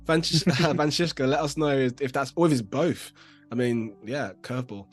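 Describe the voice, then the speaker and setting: A man's voice: mid-range voice, moderate pace. A young man hosting a live design stream over a video call, chatty and full of fillers. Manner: chatty, lots of fillers and back-channel "nice"s. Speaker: livestream host. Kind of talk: casual livestream chat.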